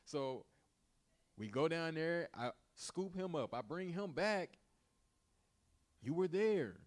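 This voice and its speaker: A man's voice: on the high side, expressive, slow. Colloquial man leading a Bible study. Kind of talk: informal Bible teaching, colloquial retelling.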